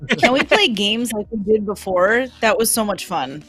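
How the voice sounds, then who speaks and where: A woman's voice: mid-range voice, lively intonation, moderate pace, a bit bright, husky, faint music behind. A young woman chatting casually as a cast member on a live stream. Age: young adult. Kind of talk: casual chat.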